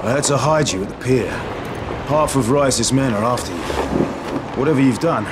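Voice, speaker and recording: A man acting as a somber resistance fighter in a video game, giving a measured, matter-of-fact briefing. His voice has a medium pitch, with clear outdoor urban noise behind it. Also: natural voice. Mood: urgent, informing. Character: grave, loyal male resistance ally briefing a comrade.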